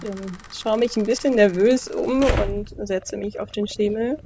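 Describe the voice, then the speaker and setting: A woman's voice: medium pitch, a little slow. Woman player in a roleplay, faint noise. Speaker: player in a tabletop roleplaying game. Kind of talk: improvised roleplay, casual conversational.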